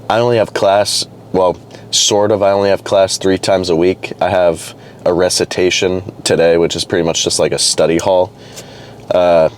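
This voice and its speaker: A young man vlogging casually to camera, a little hoarse and congested from a cold, rambling loosely with restarts and fillers. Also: deep voice, a little hiss or hum. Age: young adult. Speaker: fitness vlogger. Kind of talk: casual vlog talk to camera.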